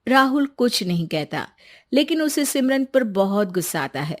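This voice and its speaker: High-voiced woman storyteller. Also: sing-song, normal speed. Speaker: narrator. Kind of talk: scripted story narration.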